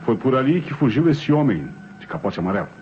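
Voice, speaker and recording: A Brazilian actor playing a methodical, suspicious police inspector in a radio drama, speaking formally and deliberately. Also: male voice, mid-range voice, sing-song, darkish tone, a little hiss or hum, natural voice. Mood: grave, measured. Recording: dull old radio recording, music behind.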